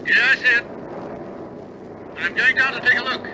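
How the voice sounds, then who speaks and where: Bomber captain: high voice, grimly steady authority, war radio drama, over heavy aircraft noise. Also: male voice, moderate pace. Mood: tense, commanding. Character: resolute male bomber pilot captain, grimly steady under danger. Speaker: actor. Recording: loud aircraft engine drone. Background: aircraft engine noise.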